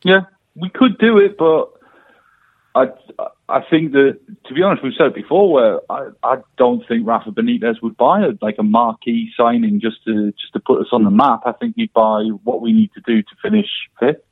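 A man's voice: mid-range voice, animated, moderate pace, breathy edge, no room sound. A man on a phone line, chatting casually about football as a podcast panellist. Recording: tinny narrow phone line.

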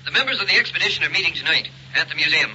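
Sharp, uneasy museum official. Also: male voice, a little high, lively intonation, racing, slight background noise, low-quality recording. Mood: urgent, guarded. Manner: formal, hesitant, urgent. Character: earnest, uneasy male museum official on the telephone. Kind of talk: 1950s-style radio drama acting.

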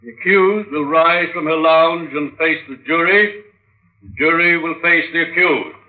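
An actor playing a solicitous, courteous judge questioning witnesses in an old-time radio drama, polite and formal. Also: male voice, a little high, measured pace, natural voice. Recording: muffled, narrow-band old radio recording.

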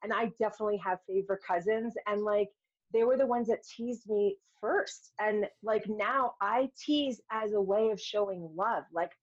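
A middle-aged woman speaks as a podcast guest, telling personal stories in a candid, conversational way. Her voice sits at a medium pitch and she voices quoted remarks as she talks. The recording is somewhat dull and lo-fi. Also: normal speed. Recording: dull, lo-fi sound.

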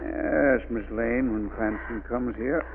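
Casting: rustic murderous schemer, mid-pitched, menacing, vintage radio thriller on a thin recording. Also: male voice, slow. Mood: gloating. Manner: folksy, rough grammar. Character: vengeful, menacing murderer with a pet wolf. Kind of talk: 1940s radio villain acting. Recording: noisy, phone-like old radio recording.

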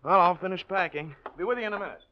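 A man's voice: high-pitched, rapid-fire, slight background noise, lightly acted. A man playing an easygoing, obliging young pal in 1940s radio drama style, casual and agreeable. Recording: dull old radio recording.

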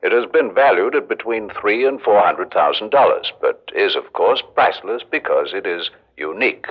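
An actor playing a recorded museum guide in a radio drama, narrating formally with measured, grandiose solemnity. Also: male voice, lowish voice, lively intonation, slightly rough. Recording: dull old radio recording.

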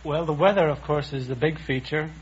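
A man's voice: a little high, a little fast. A man answering as a contestant on an old radio quiz show. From Ireland. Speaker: instrument engineer. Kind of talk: unscripted interview answers. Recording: muffled noisy old radio, audience present.

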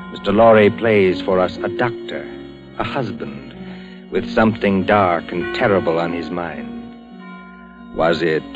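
A man narrating a 1940s radio suspense drama in a dramatic, deliberate manner. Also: sits low. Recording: muffled 1940s radio broadcast recording. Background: dramatic music.

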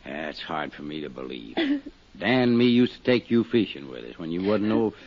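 A man's voice: low voice, wildly swooping pitch, normal speed, slightly rough, heavily acted. An actor in a 1950s radio drama playing an older small-town sheriff, folksy and plainspoken, firm but fatherly and sorrowful. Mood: sorrowful, stern. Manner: folksy rural grammar, plainspoken. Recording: dull 1950s radio recording.

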